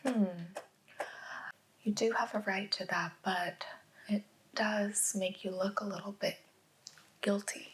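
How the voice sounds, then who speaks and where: A woman playing a patient, probing police interrogator in an ASMR roleplay, speaking gently in a hushed near-whisper. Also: medium pitch, steady tone, slow, pauses often, natural voice. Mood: coaxing. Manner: gentle, methodical, echoing back answers. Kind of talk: soft-spoken ASMR roleplay.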